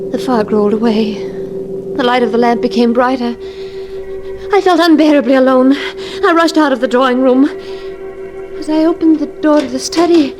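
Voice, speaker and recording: Actress as a high-voiced, fearful radio-drama wife. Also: female voice, close to an everyday voice. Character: uneasy, fearful young-married wife narrating a ghost story. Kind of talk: 1950s radio drama acting, first-person narration. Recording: old radio drama with music behind.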